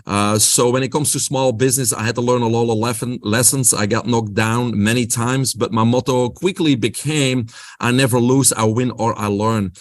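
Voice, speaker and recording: A man's voice: medium pitch, steady tone, moderate pace. A man with a Belgian accent, a guest on a podcast interview, explaining his health coaching with an upbeat motivational-speaker delivery.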